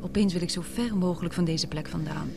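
A woman's voice: lowish voice, fairly quick, creaky, over music. An actress playing an anxious, tender young woman in a radio drama, emotional and pleading. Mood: wistful. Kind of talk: radio drama acting in Dutch.

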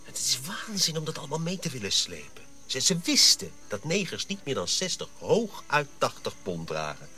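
An actor playing a dutiful, questioning Dutch colonial officer, earnest and precise, in a radio drama. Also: male voice, mid-range voice, wildly swooping pitch, moderate pace, few pauses, pushed and bright, soft background music, full character voice. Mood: concerned.

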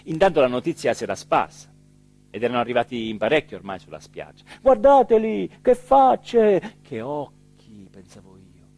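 An actor playing an excitable small-town mayor in a theatrical radio monologue, with an emphatic delivery that swings from hushed to shouted. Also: male voice, on the high side, moderate pace. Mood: confiding, earnest, then alarmed, commanding. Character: excitable small-town Italian mayor recounting his dream and a night emergency.

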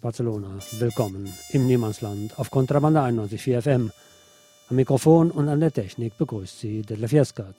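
A man hosting a radio show, reading a scripted personal essay in measured, short literary phrases. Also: mid-range voice, warm tone, soft background music. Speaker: radio host.

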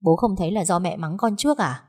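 A woman voicing an angry grown daughter who talks back with shrill, accusing, tearful words. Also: lively intonation, quick pace, dark tone. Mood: resentful. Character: angry grown daughter, sharp-tongued and bitter.

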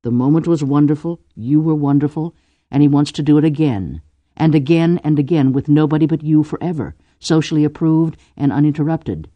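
A woman voicing a brisk, commanding noblewoman who plays matchmaker with wry teasing. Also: low voice, no echo, muffled recording, natural voice. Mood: coaxing.

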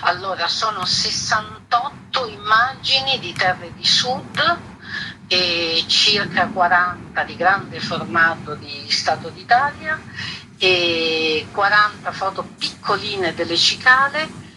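A woman being interviewed as an exhibition curator, explaining in an earnest, reflective way with searching pauses. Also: medium pitch, over music. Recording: clearly noisy background.

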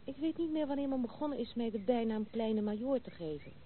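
A woman's voice: on the high side, animated, brisk, lightly acted. Actress as a frank young noblewoman, thin old recording. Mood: candid, reminiscing. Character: proud, blunt, tomboyish young noblewoman nicknamed the Major. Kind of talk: Dutch radio play acting. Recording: tinny, band-limited, noisy old radio recording.

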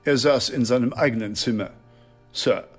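A man's voice: medium pitch, normal speed, soft background music, close to an everyday voice. An actor playing a formal, deferential butler in a German radio drama, stiff and precise in his answers. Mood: formal, dutiful.

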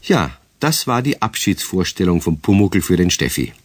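Male narrator for radio play: low voice, lively intonation, close dry sound. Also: normal speed, husky, dry recording.

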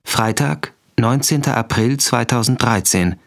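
An actor playing a terse announcer of chapter headings in a crime radio drama. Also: male voice, medium pitch, a little slow, creaky. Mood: factual, terse. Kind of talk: scripted radio drama narration. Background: music.

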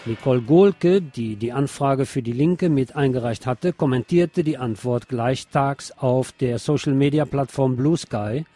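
A male radio presenter reading out press reports and commenting on them in a deliberate, emphatic way. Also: medium pitch, warm tone. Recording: music behind the voice. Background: background music.

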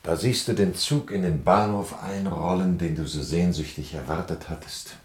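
An actor playing an aging, boastful and weary wandering king in a German radio play, grandiloquent and reflective, with a gravelly voice. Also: low voice, normal speed, darkish tone, natural voice.

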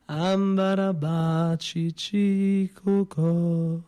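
A man voicing a grieving woman who murmurs a nursery rhyme obsessively, hushed and breathy, in drawn-out singsong. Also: high-pitched, flat delivery, close to an everyday voice. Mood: eerie, murmuring. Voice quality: hushed, breathy, half-whispered. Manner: very slow, drawn-out singsong chanting.

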